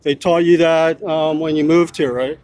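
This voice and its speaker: A man's voice: a little high, steady tone, normal speed, darkish tone, no room sound. A man filming himself as a street reporter outdoors, talking in a pushy way and repeating his questions. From United States. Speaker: self-styled street reporter. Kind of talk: confrontational vlog narration.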